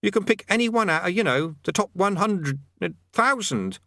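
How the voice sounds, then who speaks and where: A man doing a high, pompous, excitable mad conjurer. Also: moderate pace, dry recording, clean studio sound, heavily acted. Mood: excited, pompous. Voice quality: high, pompous archaic commands, stammering. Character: scrawny excitable middle-aged male demonologist, mad and pleading. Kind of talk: audiobook character voice.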